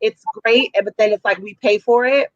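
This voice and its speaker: A woman with a raspy voice giving casual, effusive feedback as a judge at a music showcase. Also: fairly high pitch, fairly even intonation, normal speed, no echo, lo-fi sound. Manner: effusive, chatty, full of fillers.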